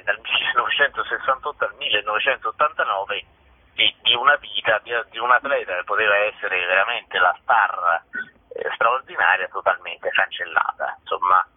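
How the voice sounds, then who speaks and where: Male interview guest, low voice, halting off-the-cuff storyteller, dry telephone sound. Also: slightly rough. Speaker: theatre director, interview guest. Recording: dry narrow phone line.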